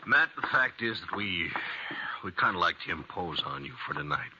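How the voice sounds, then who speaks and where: A man's voice: sits low, big pitch swings, normal speed. An actor playing a humble, weary American farmer and father who has lost everything, in a 1950s radio western. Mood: ashamed, pleading. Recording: dull, lightly noisy 1950s radio recording.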